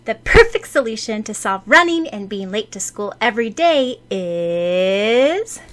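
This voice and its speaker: A woman voicing a cheerful, caring and excitable teenage schoolgirl in an expressive audiobook reading. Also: mid-range voice, unhurried. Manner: exclaiming, expressive.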